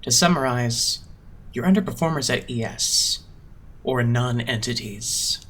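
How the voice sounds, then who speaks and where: A man's voice: medium pitch, unhurried, fairly bright tone. Condescending, formal young male boss acted by a man. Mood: cold, blunt. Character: cool, haughty young male idol-agency boss. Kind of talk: anime game fandub acting.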